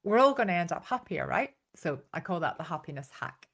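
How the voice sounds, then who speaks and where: A woman's voice: lowish voice, sing-song, brisk, vocal fry, fairly bright tone. A woman with a Northern Irish accent giving an informal live training talk as host, chatty and given to storytelling asides. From Northern Ireland.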